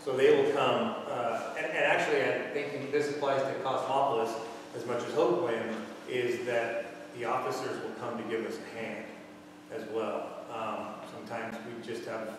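A man's voice: mid-range voice, steady tone, normal speed. Man explaining plans in an echoey fire station. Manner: plain explanatory, talks through details. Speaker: fire department officer. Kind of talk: answering questions at a public meeting. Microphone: far camera. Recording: echoey hall, distant mic, background noise.

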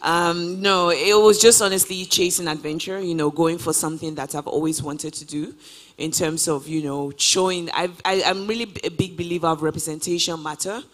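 A woman's voice: fairly low pitch, steady tone, moderate pace. Young woman chatting upbeat on stage. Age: 20s. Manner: upbeat, many "you know" fillers. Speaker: guest traveler. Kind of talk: casual interview answers on stage. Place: large church auditorium stage. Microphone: handheld mic.

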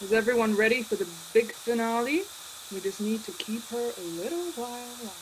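A woman's voice: on the high side, a little fast, slight background noise, lightly acted, rough recording. A woman playing a resentful, secretive conspirator in a drama, speaking with control. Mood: resentful, plotting. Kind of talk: radio-play acting over video call.

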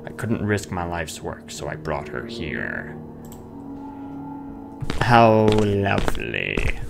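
A man's voice: deep voice, sing-song, slow, creaky. A man giving casual, playful commentary while playing a horror video game, chatting and muttering to himself. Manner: playful, chatty, sings nonsense sounds to himself; mutters and reads on-screen notes aloud. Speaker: gamer, let's player. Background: game music.

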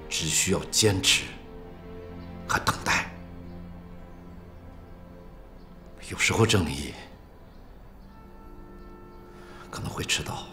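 A man playing a middle-aged veteran prosecutor in a film drama, speaking in a measured, formal, grave way. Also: low voice, bright, forceful tone. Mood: solemn. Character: veteran male prosecutor near retirement, solemn and steady. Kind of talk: restrained screen drama acting. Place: small prison interview room. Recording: echoey room with music behind.

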